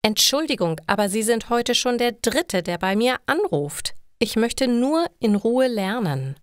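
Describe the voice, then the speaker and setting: A woman's voice: on the high side, big pitch swings, unhurried, no echo, studio quality. A woman voicing an irritable, put-upon young man in an audio story, polite but firm and plainly protesting. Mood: annoyed refusal.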